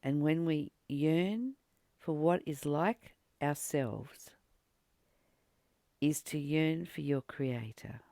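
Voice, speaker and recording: This spiritual teacher speaks at a very unhurried pace, with plenty of fry in a mid-pitched voice. The delivery is earnest and reflective, breaking thoughts into short, deliberate phrases. The sound is close and dry. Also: warm tone, no room sound. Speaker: spiritual teacher reading and commenting on a text. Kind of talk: reflective spiritual study, reading aloud with personal commentary.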